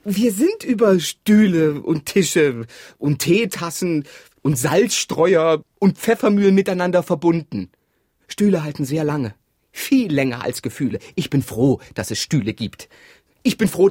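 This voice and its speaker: A man's voice: fairly high pitch, expressive, measured pace, a little mellow, breathy edge, no echo, clean studio sound. An actor playing an exasperated, sentimental man in a radio drama, speaking in an emphatic, insistent way. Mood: insistent, wounded. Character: exasperated, sentimental partner clinging to household belongings. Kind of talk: radio drama dialogue acting.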